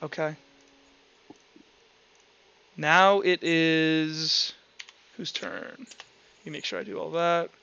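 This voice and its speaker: A man running a tabletop roleplaying game, improvising his narration in a halting way and repeating words as he thinks aloud. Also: on the high side, fairly even intonation, vocal fry, sharp tone, clear, resonant tone, no room sound, dull audio. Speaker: game master.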